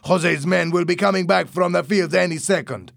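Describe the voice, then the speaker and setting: A man voicing a ruthless, bossy smuggler in an audiobook story, with a loud, pushy delivery. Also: fairly high pitch, lively intonation, unhurried, gravelly, no echo, clean studio sound, voice pushed up, heavily acted. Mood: urgent, shouting. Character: ruthless, lying male drug smuggler, bossy and forceful. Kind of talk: audiobook character acting.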